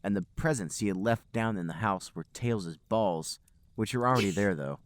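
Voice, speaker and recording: A man's voice: on the low side, normal speed, no echo. A man hosting a podcast, reading comic fan fiction aloud in a mock-earnest storybook manner. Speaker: podcast host and narrator.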